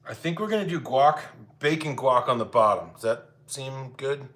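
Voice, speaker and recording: A man's voice: medium pitch, lively intonation, moderate pace, a bit bright. A man hosting a live cooking stream, chatting informally and thinking aloud as he talks to viewers. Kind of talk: casual chat with viewers while cooking. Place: home kitchen. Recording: clear background noise, clattering dishes and cutlery.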